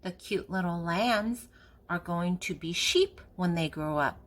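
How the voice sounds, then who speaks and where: An older woman reading a picture book aloud to young children in a playful, animated way as the host. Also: fairly low pitch, measured pace. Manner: playful, acting out animal sounds.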